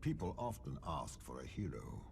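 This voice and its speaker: An actor playing a cold, calculating warlord with a demon arm, speaking in a calm, stern and measured way for a video game. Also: male voice, exaggerated voice. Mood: menacing calm. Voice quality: deep and gravelly. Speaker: game character voice lines. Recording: game voice clip with music behind.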